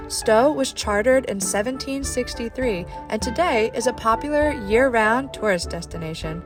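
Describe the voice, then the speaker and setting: A woman with a fairly high voice narrates as a travel show host. Her delivery is scripted but playful, with a touch of theatre in the phrasing. Music plays clearly behind her. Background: background music.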